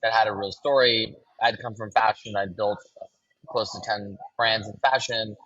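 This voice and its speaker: A man with a low, husky voice talks loosely about his business in a podcast interview, pausing on ums and restarting his sentences. The sound is muffled and dry. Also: dry recording. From Los Angeles. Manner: relaxed, fills with ums and restarts. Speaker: brand co-founder.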